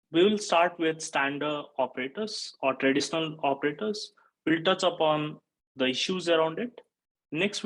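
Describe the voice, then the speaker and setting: A man's voice: fairly high pitch, a bit bright, rough recording. A man in his thirties from Nepal, giving a technical conference talk from a webcam setup, explaining steadily with frequent "uh" fillers. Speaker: conference speaker, software engineer. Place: indoor home or office room. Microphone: webcam-style video call framing.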